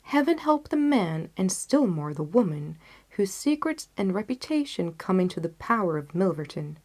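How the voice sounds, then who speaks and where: A woman's voice: medium pitch, sing-song, normal speed, a little mellow. A woman playing an intense, disgusted male detective in an audiobook, speaking in an emphatic, declamatory way. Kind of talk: audiobook character dialogue.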